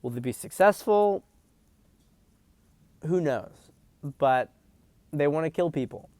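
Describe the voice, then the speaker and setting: A man's voice: on the high side, lively intonation, slow pace, a little mellow, no room sound. A man hosting a political commentary stream, talking bluntly and emphatically, swearing freely and often restarting his sentences. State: hiccups. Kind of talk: profane livestream commentary.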